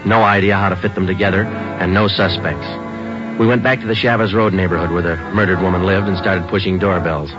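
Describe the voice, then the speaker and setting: An American man playing a terse, businesslike police detective who narrates his case in clipped, deadpan radio-drama style. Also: deep voice, natural voice. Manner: deadpan, matter-of-fact, clipped. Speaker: lead actor and narrator. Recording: dull old radio recording with music.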